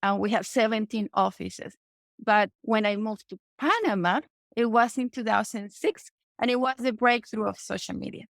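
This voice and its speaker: Venezuelan-accented woman with a gravelly edge. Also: a little high, normal speed, no echo. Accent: Venezuelan Spanish. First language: Spanish. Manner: energetic, often adds "okay?" to check in. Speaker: podcast guest, entrepreneur. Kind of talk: casual interview talk.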